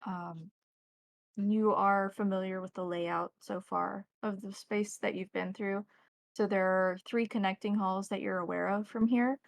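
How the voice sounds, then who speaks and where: A woman narrating a tabletop roleplaying game as game master, setting scenes in a descriptive, measured way. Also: medium pitch, steady tone, vocal fry.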